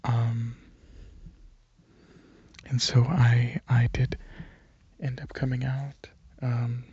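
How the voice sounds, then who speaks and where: A young man speaks very breathily and softly in a casual ASMR ramble, hesitating and drifting into whispers. His delivery is slow and fairly flat in pitch. He is close to the microphone, with a slightly dull recording. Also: no room sound. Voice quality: breathy, soft. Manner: hesitant, halting, slipping into whispers. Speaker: ASMR video creator and channel host. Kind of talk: soft-spoken ASMR ramble, casual personal chat.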